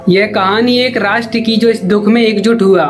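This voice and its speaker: A woman's voice: mid-range voice, fairly even intonation, normal speed. A woman delivers scripted documentary-style news narration. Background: background music.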